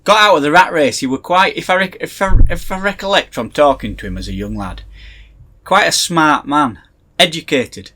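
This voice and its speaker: Brief: Yorkshire man, middle-aged, slightly high and bright, a wry ranter. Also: expressive, normal speed, a little hiss or hum. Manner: wry, chatty, ranting asides. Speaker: podcast co-host. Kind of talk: casual banter.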